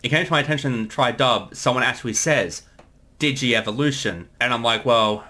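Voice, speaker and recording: A man's voice: mid-range voice, brisk, sharp tone. A man speaking as a series creator, giving a casual commentary.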